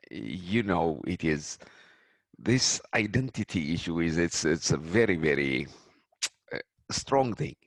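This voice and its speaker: Deep, gravelly man, halting. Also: expressive, no room sound. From Ethiopia. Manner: halting, many restarts and fillers. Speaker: guest. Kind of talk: reflective interview answers.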